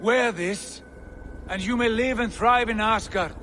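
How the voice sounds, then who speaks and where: An actor playing an earnest, compassionate warrior god in a video game, speaking formally. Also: male voice, sits high, a little slow, pushed and bright, slight background noise. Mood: calling out, appealing. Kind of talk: video game cutscene acting.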